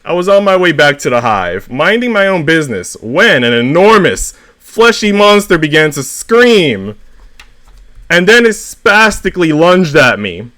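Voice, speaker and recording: A man's voice: on the high side, big pitch swings, unhurried, a bit bright, pitched above the performer's own voice. A man voicing an indignant, melodramatic honeybee, a devoted father, in a dramatic, aggrieved story reading.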